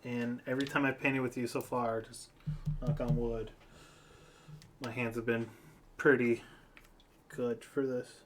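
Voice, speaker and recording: A man's voice: medium pitch, level tone, measured pace, long pauses, fairly bright tone, slight background noise. A man chatting casually and plainly during a painting session. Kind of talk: casual chat.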